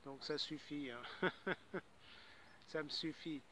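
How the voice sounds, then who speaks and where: A man's voice: on the high side, slow, sharp tone, a little hiss or hum. A man chatting casually as an amateur radio operator, rambling and repeating his words as he goes. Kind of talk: casual ham radio chat.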